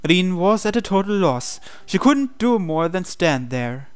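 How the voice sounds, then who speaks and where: A man's voice: high voice, big pitch swings, measured pace, clean tone. A man reading visual novel narration aloud as host of a game playthrough. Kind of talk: scripted narration read aloud.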